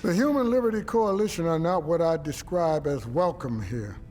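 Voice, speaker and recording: A man playing a wary, measured leader of an outlaw crew in a video game cutscene, with deliberate phrasing. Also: on the high side, expressive, a little mellow, faint music behind, lightly acted.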